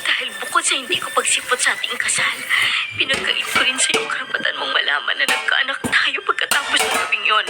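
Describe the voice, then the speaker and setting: An actress playing a remorseful, wounded former bride in a Filipino radio drama, with dramatic, deliberate phrasing. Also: female voice, high-pitched, loud music behind the voice. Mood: bitter, remorseful.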